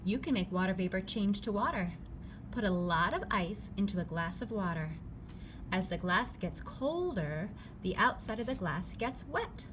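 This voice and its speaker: A woman reading a children's picture book aloud, phrasing it clearly and simply for young listeners. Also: mid-range voice, animated, moderate pace. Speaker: read-aloud narrator. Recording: clearly noisy background ambience.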